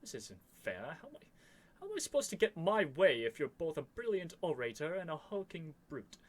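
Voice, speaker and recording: A man's voice: on the high side, big pitch swings, brisk. A man playing a stubborn, flustered young prince with haughty, theatrical phrasing in an ASMR roleplay. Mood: exasperated, scheming.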